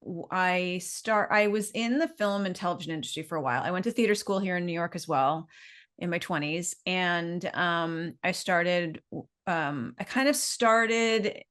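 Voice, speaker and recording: Chatty middle-aged Canadian woman, lower-pitched. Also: moderate pace, fairly bright tone. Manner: chatty, meandering, informal. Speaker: business owner being interviewed. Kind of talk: casual interview storytelling.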